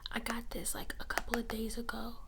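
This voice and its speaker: A woman's voice: fairly high pitch, completely flat delivery. Whispering woman, very noisy lo-fi recording. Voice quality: breathy, unvoiced whisper. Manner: slow, repeats phrases for effect, casual filler-laden storytelling. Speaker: ASMR creator telling a story. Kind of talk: whispered ASMR storytime. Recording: lo-fi, loud noise, clicks.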